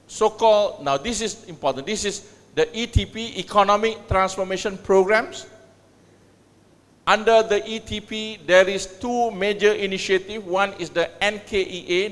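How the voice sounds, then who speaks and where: A middle-aged man speaks from the stage of a large university hall, his voice pitched high for a man and trailing off into a long reverb. He talks in a measured way, with clipped phrases and frequent pauses, as he presents and then opens the floor. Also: a bit bright. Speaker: university vice chancellor giving a conference talk. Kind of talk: conference speech and Q&A moderation.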